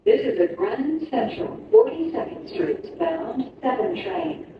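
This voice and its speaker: A woman's voice: high-pitched, animated, slow. A woman reading a scripted train announcement. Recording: echoey, distant, noisy lo-fi capture.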